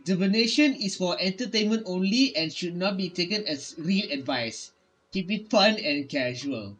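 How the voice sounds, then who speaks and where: A man with a slightly high voice reads on-screen game text aloud as a streamer. His delivery is halting, with stumbles over words. Music plays behind him. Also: lively intonation, a bit bright. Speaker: gaming streamer. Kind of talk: reading game dialogue aloud during a playthrough.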